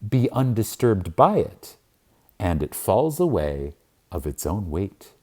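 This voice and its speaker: A man narrating a spiritual audiobook in a reverent, emphatic manner with deliberate dramatic pauses. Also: on the low side, very animated, darkish tone.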